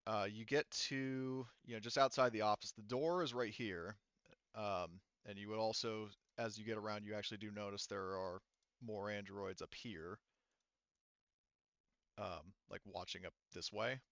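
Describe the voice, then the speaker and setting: A man narrating a tabletop roleplaying game as game master, explaining things in a halting way. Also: lowish voice, fairly even intonation, fairly bright tone, clean tone, no room sound, highs cut off.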